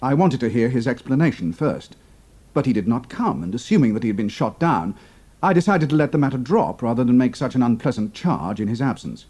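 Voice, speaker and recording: Man acting a husky, earnest young British airman. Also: mid-range voice, expressive, a little fast, dark tone, voice pushed up, lightly acted. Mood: respectful, aggrieved. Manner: formal, clipped, earnest military address. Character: young British airman, composed, quick-witted, respectfully indignant. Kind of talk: dramatised audiobook character dialogue.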